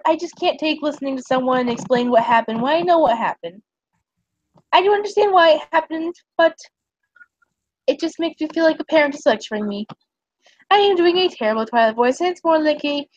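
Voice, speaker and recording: A woman's voice: sits high, expressive, a little fast, darkish tone, dry recording, highs cut off. A woman streamer chatting casually, giggly and rambling with lots of fillers.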